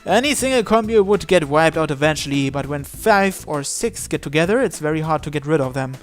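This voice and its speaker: A man's voice: fairly high pitch, animated, fairly quick. A man reading visual novel story text aloud as narration, sometimes stumbling over a word and repeating it. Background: background music.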